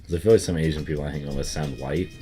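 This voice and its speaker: A man's voice: extremely low pitch, steady tone, rapid-fire, mellow. A young man with a light Southern US accent, co-hosting a casual chat and rambling with lots of fillers. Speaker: co-host. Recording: faint music underneath.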